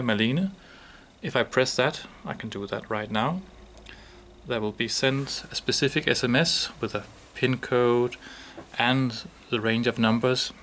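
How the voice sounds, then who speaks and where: Gravelly man demonstrating a product. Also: mid-range voice, a bit bright, slight background noise.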